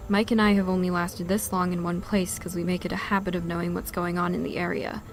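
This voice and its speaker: A somewhat low-pitched actress as a guarded female survivor in an audio drama. Her delivery is understated and world-weary, with slight background noise. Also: little pitch movement, quick pace, lightly acted. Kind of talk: audio drama acting, anime fan parody.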